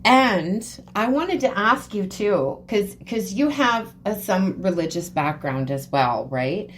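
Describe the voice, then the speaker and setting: A woman hosting a podcast, talking in a relaxed, agreeable way in casual conversation. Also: mid-range voice, slow. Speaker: podcast host.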